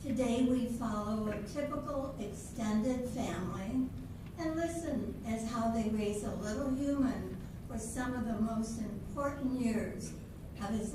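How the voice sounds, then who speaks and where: Slow older woman narrating a stage reading. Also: a little high. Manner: measured, introducing the characters. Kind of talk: readers theatre narration. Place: senior center hall. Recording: roomy hall from far off, with background noise.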